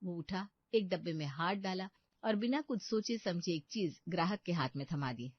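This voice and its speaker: A woman's voice: on the low side, animated, normal speed, no room sound, dull audio. A woman reading a scripted storybook narration as the storyteller.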